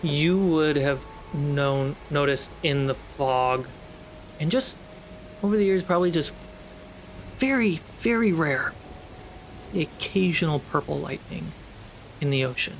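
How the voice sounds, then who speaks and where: A man's voice: a little high, a little slow, creaky, pauses often, a little hiss or hum, rough recording. A man narrating a tabletop role-playing game as its game master.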